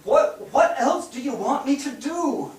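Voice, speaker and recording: Man as a desperate, high-pitched husband, very echoey. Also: animated, unhurried. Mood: pleading. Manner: pleading, anguished. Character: desperate, broken 19th-century husband, a drinker. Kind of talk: staged reading of a period drama. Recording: very echoey theatre, distant microphone.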